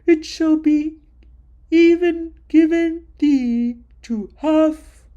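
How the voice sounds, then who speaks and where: A woman booming as a commanding king. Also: drawn-out words, dull audio. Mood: grand. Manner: loud, declaiming, drawn out. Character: powerful male king, grand and commanding.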